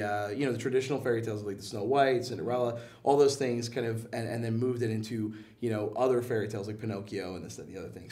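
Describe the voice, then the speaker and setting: A man's voice: mid-range voice, darkish tone. A man speaking as an interview guest in a casual, enthusiastic, slangy and joking way. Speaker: guest, comic book and animation producer. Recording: voice somewhat far from the mic.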